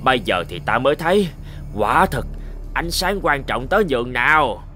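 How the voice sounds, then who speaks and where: A man acts out a menacing cartoon demon henchman from the underworld. He is boastful and bullying, with big swings in pitch, over faint music. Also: very animated, normal speed, pushed and bright. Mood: gloating, excited. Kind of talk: cartoon villain acting.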